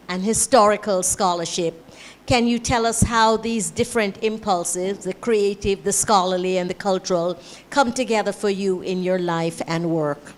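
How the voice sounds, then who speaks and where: A woman interviewing an author before a live audience at a university book event, asking long, formal questions. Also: medium pitch.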